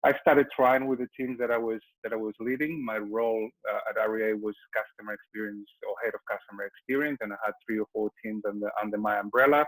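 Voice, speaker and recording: A man's voice: fairly low pitch, fairly even intonation, quick pace, few pauses, fairly bright tone, rough recording. A middle-aged man from Spain with a Spanish accent, presenting a webinar as a company founder and often restarting and repeating his words. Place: Melbourne.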